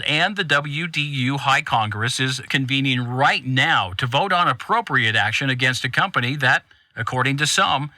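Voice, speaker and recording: A male actor playing a serious, brisk news journalist, delivering a polished, urgent broadcast report in an audio drama. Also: medium pitch, pushed and bright, no room sound.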